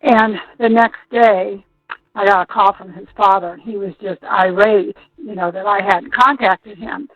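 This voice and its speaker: A woman's voice: mid-range voice, measured pace, crowd noise. American woman recounting memories over muffled phone. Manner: rambling, conversational, hedges recollections. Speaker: school nurse being interviewed. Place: on a telephone call. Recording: muffled, dull phone call audio.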